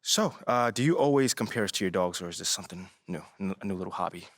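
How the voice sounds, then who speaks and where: A man's voice: deep voice, animated, fast, a bit bright, no room sound, clean studio sound. A man playing an easygoing young American basketball player in a video game, chatting casually and politely. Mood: amused, polite. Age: young adult. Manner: laid-back, friendly, informal slangy talk. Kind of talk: casual conversational video game cutscene acting.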